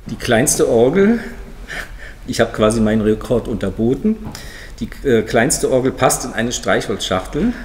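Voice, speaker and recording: A man's voice: mid-range voice, very animated, unhurried, a little mellow, a little hiss or hum. A man in his fifties from Berlin, explaining in a matter-of-fact way as an organ builder showing his work. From Berlin, Germany. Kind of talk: informal explanatory demonstration to camera. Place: large museum hall, indoors. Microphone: lapel mic. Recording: long reverb of a large hall.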